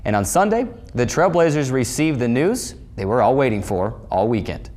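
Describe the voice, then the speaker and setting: A man's voice: medium pitch, expressive, normal speed, darkish tone. A male sportscaster with a mid-range voice reads a scripted sports recap. He delivers it like an announcer, leaning into the opening of each new story. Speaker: sports show host and play-by-play voice.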